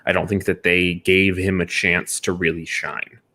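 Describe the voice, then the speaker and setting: A man co-hosting a podcast, giving film opinions in a casual but measured, reasoned way. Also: low-pitched, level tone, pushed and bright. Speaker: podcast co-host. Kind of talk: casual film review discussion.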